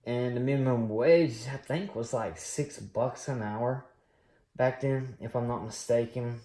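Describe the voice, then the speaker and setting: A man in his late thirties telling his story on a coin collecting vlog, rambling and relaxed with many pauses and fillers. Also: mid-range voice, roomy sound. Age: late 30s. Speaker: coin collecting channel host. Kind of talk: casual personal storytelling while unboxing.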